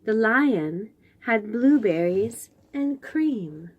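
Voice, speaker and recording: Woman, children's storyteller, unhurried pace, animated intonation, gentle wondering manner, picture-book read-aloud, asides to young listeners. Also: on the high side, husky, narrow, tinny audio.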